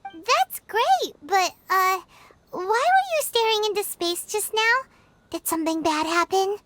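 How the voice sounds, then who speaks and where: A woman voicing a tiny, excitable, curious fairy companion with a squeaky childlike voice in a video game, over music. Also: unhurried, full character voice. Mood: curious, upbeat. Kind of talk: animated game dialogue. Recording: music playing behind the voice.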